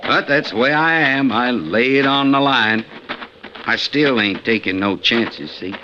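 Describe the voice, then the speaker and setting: An actor playing a brash, boastful, ruthless gold robber in an old-time radio western, with a talkative, folksy, blunt and menacing way of speaking. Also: male voice, mid-range voice, expressive, a little slow, close to an everyday voice. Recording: lo-fi 1950s radio recording, faint noise.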